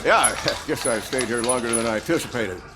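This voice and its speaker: A man's voice: mid-range voice, a little fast, heavy background noise, lightly acted. An actor playing an awkward, distracted policeman in a video game, sheepish and full of hesitations. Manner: stammering, sheepish.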